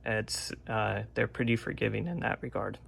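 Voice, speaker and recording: A man giving a casual, unscripted, step-by-step narration of hands-on repair work, with a gravelly, creaky voice. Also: lowish voice, little pitch movement, moderate pace, fairly bright tone. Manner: plain-spoken, unscripted step-by-step explaining. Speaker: radio restorer presenting a repair vlog.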